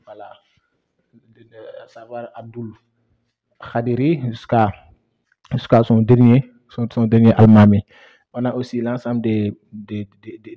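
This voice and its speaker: A young man from Senegal, a history student, giving a guided museum tour, hesitant and full of fillers and repeated words. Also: medium pitch. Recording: dull lo-fi sound.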